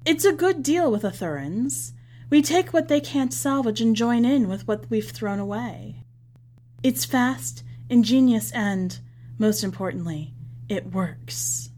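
A woman's voice: on the high side, expressive, measured pace, darkish tone, slight background noise. Woman playing a gushing, boastful envoy. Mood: proud. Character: proud, doting male envoy showing off.

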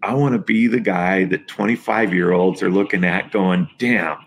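Deep gravelly motivational man in his fifties. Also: steady tone, normal speed, slight background noise. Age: 50s. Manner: emphatic, motivational. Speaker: guest, nutrition coach. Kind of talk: podcast interview.

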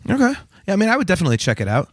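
A man's voice: sits high, wildly swooping pitch, rapid-fire, slightly rough, no echo, studio quality. A man co-hosting a music podcast, chatting in an informal, conversational way. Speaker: podcast co-host. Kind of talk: casual chat about music albums.

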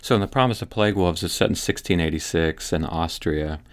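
Man, very low voice, guest author, podcast chat, halting restarts. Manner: thoughtful, halting, many restarts. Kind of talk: casual podcast conversation.

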